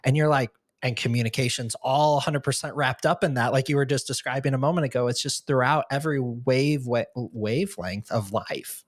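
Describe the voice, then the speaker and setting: A man's voice: mid-range voice, fairly even intonation, normal speed, few pauses, dry recording, studio quality. A man hosting a podcast, asking long rambling questions with many restarts. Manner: rambling, self-interrupting questions. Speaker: podcast host. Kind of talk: casual interview chat.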